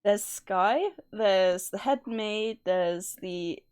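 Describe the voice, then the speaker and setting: A woman's voice: medium pitch, fairly even intonation, drawn-out words, a little mellow, smooth. A woman hosting a livestream, chatting casually in a nervous, giggly way. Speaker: livestream host. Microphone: streaming microphone at a desk.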